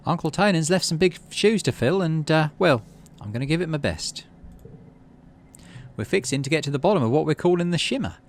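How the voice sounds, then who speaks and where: Man voicing a folksy young woman councillor, pitched high. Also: animated, brisk. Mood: earnest, folksy. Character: young woman colony councillor, folksy, earnest, slightly nervous.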